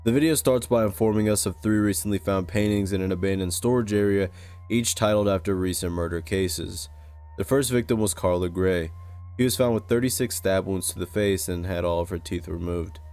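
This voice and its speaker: A man reading scripted narration for a video essay in a measured, serious way. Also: sits low, darkish tone, smooth, faint music behind. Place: close-recorded voice-over with music behind.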